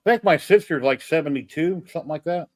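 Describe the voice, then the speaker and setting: A man in his fifties chatting casually as a livestream guest and reacting quickly to the others. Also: a little high, darkish tone. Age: 50s. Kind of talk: casual chat.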